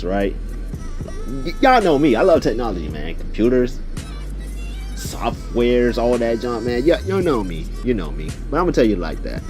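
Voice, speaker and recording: A young man tells a story in a loose, slangy, conversational way. His mid-range voice rises and dips in an animated fashion as he speaks straight to his listeners. Music plays faintly behind him. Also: medium pitch. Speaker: YouTube storyteller. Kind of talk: casual storytime chat.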